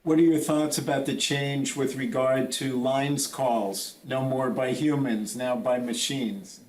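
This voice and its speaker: A man's voice: medium pitch, steady tone, measured pace, a little mellow. A man asking questions as a reporter at a press conference. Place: indoor press conference room. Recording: roomy, echoing press room.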